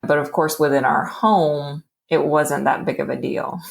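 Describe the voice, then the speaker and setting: Low, slow-speaking woman in her forties. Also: fairly even intonation, vocal fry. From Texas, USA. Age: 40s. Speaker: podcast guest. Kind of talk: relaxed storytelling.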